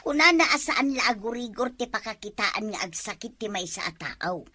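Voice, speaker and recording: A woman acting in a comic village drama, with an excitable, exclamatory way of talking. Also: a little high, moderate pace, no echo. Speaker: comedy drama actor.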